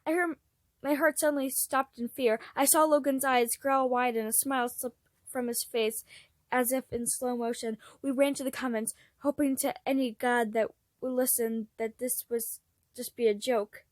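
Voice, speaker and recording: A woman's voice: high-pitched, fairly even intonation, normal speed, no echo. A woman reading fan fiction aloud as an amateur narrator, expressively and with acted dialogue.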